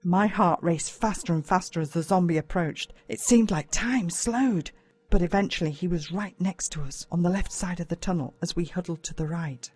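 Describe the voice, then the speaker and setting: A woman with an Australian accent reads a first-person story as a dramatic, expressive audiobook narrator. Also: on the low side, moderate pace, slightly rough.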